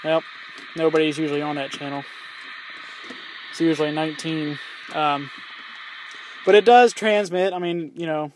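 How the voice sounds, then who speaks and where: A young man with a somewhat high voice talks through his CB radio in a loose, offhand way. He rambles, restarts sentences and fills pauses with ums. He sounds like a hobbyist vlogging from beside his car. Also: steady tone, slight background noise, low-quality recording. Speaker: YouTuber reviewing his CB radio. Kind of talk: casual product review vlog.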